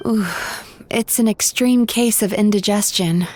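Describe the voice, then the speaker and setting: A woman voicing a calm, caring young psychologist in a fantasy video game, gentle and composed. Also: mid-range voice, a little slow, slight background noise, close to an everyday voice. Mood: concerned. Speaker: psychologist character.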